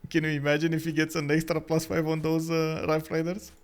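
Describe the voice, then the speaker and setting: A man's voice: a little high, monotone, clean tone, faint music behind. Romanian-accented man casting a strategy match. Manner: chatty, laughs often, halting restarts. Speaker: esports caster and stream host. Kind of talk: live strategy game commentary.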